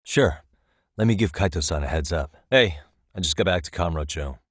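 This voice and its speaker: An American man voicing a level-headed, thoughtful detective in a video game, with measured, articulate delivery as he reasons aloud. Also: low voice, husky, no echo, clean studio sound. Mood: casual. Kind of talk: scripted game dialogue.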